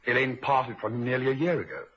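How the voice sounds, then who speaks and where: A man playing an earnest, polite and anxious young man in a vintage radio drama, speaking in a halting, emotive way. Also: mid-range voice, lively intonation, dull audio, natural voice. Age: young adult.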